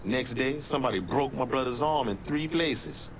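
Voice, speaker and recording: A man's voice: mid-range voice, normal speed, a little hiss or hum, natural voice. An actor playing a young male boxer who talks in loose, streetwise slang. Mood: laid-back.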